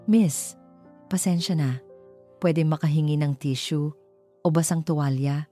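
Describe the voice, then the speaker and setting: A woman voicing a calm, polite, self-controlled male passenger with a Filipino accent in a narrated story. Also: low voice, unhurried, faint music behind. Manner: calm, courteous.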